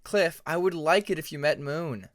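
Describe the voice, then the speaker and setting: A man's voice: on the high side, normal speed, close to an everyday voice. Earnest young dragon student, voiced by a man. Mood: friendly.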